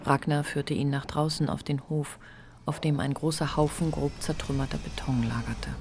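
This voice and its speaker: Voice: medium pitch, steady tone, moderate pace, darkish tone, slight background noise. A narrator reading literary prose in a scripted radio drama.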